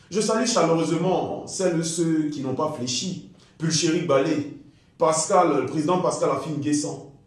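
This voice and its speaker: A man delivering a fiery political speech in a forceful, declamatory way with emphatic repetition. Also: a little high. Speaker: political activist. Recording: very echoey, reverberant sound.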